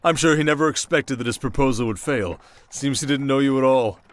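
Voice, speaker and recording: A man playing a shrewd, confident king in an ASMR roleplay drama, speaking in a measured, wry and protective way. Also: mid-range voice, lightly acted. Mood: teasing, smug.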